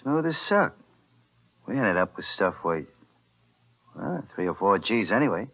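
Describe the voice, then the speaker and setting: An American actor playing a wary, smooth small-time gangster in a 1940s radio thriller, with clipped, dryly pointed lines. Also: male voice, lowish voice, sing-song, unhurried, exaggerated voice. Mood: dry, smug. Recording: muffled old 1940s radio recording.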